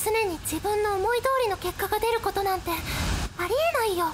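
Radio drama lead, woman performer: conciliatory teenage club captain, sincere and reasoning, very high pitch, noisy track. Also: a little fast. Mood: pleading, dismayed. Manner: earnest, soothing, reasoning. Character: earnest, conciliatory teenage girl, school futsal club captain. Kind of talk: anime-like radio drama acting with narration. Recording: noisy background hum.

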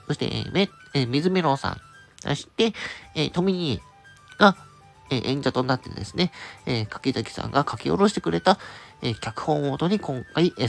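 Gravelly, animated host over music. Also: mid-range voice. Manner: chatty and polite, addressing listeners directly. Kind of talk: casual announcement monologue. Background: background music.

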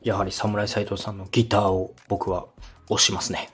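A man's voice: on the low side, little pitch movement, normal speed, breathy edge, faint music behind. A man hosting a podcast, giving advice in a polite, encouraging, conversational way. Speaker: podcast host, radio director giving advice. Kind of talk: conversational coaching, polite explanation.

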